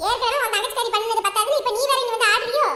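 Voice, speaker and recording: A woman voicing a fierce mother who scolds and shouts to defend her son. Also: very high-pitched, steady tone, very fast, roomy sound, a crowd in the background. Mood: scolding.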